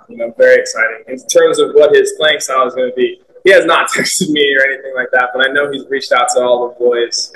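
A man's voice: mid-range voice, fairly bright tone, low-quality recording. A young male soccer player answering press questions after a loss, upbeat and candid, with lots of "you know" fillers. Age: young adult. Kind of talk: post-match press conference answers. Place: team media room, indoors.

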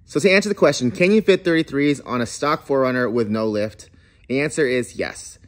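A man in his thirties narrating a do-it-yourself truck repair, explaining each step in a relaxed, plainspoken way. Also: medium pitch, a little fast. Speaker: garage channel host. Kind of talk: how-to voice-over narration.

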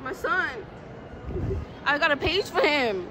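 A woman's voice: high-pitched, sing-song, takes it slowly, crowd noise. A young woman answering questions about her phone in a mall, offhand and dismissive. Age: young adult. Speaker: interviewee. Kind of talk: casual chat. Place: indoor shopping mall.

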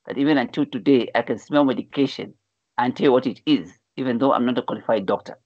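A man's voice: medium pitch, quick pace, no room sound. A man in his forties from Zambia, a life coach telling his life story in an interview over a video call, earnestly and with many restarts. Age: 40s. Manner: earnest storyteller, repeats words when starting a thought. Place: indoor room at home. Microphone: video call, wired earphones. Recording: dull lo-fi video call audio.

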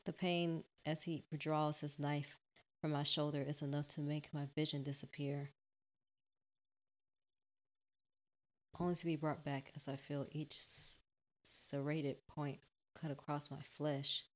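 A woman voicing the terrified, guilt-ridden victim of a stalker, narrating a visual novel in a fearful, halting way. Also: low-pitched, flat delivery, lightly acted. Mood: dazed agony.

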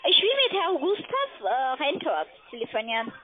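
A woman working as a call-centre agent, making a cold-call sales pitch over the telephone. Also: wildly swooping pitch, normal speed. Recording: narrow tinny phone line.

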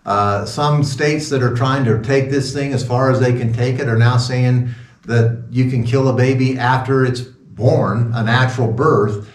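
A man in his sixties speaks as a pastor in an informal, rambling sermon style with a sarcastic edge. His medium-pitched voice is recorded at some distance from the mic, with clear room echo. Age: 60s. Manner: sarcastic, rambling, chuckling asides. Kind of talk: informal sermon talk with asides. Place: small wood-paneled room indoors.

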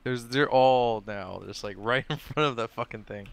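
A man with a medium-pitched voice banters casually with friends during a video game. He comes across as teasing and swears freely as he comments. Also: normal speed, gravelly, clean tone. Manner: teasing, profane.

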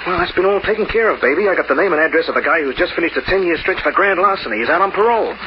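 An actor playing a cool, scheming young crook in 1940s radio noir style, clipped and tough. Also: male voice, high voice, expressive, very fast. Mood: confident, scheming. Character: scheming, cool, hard-boiled young male lover and crook. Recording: muffled, noisy old radio recording.

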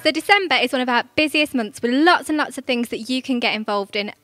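A young woman reads out the church's events in a high voice. She is cheerful and chatty, speaking into a handheld mic. Faint music plays behind her. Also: fast talker, fairly bright tone. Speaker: church news presenter. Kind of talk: scripted church announcements. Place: studio in front of a green screen.

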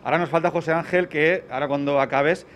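A man's voice: a little high, fairly even intonation, fast, fairly bright tone, a little hiss or hum. A man with a Spanish accent from Spain interviews conversationally on location as a TV reporter, his voice slightly muffled by a face mask. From Alicante province, Spain. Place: indoors in old pottery workshop and brick kiln. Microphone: handheld reporter's microphone.

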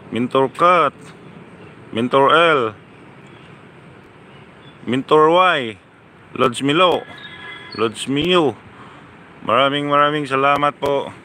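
A man's voice: mid-range voice, sharp tone, slight background noise. A man live-streaming from outdoors on a phone, casually chatting and thanking his viewers over and over. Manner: slow, chatty, repeats thanks. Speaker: live-streaming motorcycle vlogger. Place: outdoors, city streets by motorcycle, then a yard.

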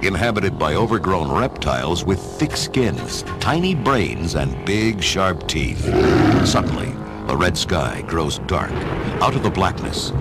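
Deep-voiced dramatic male story narrator. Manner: theatrical, measured storytelling. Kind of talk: dramatic scripted story narration for a radio play. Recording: music under the voice.

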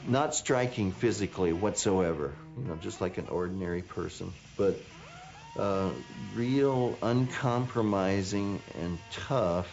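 A man's voice: deep voice, measured pace, darkish tone, lo-fi sound, faint music behind. A man recalling events in a matter-of-fact storytelling way in a documentary interview.

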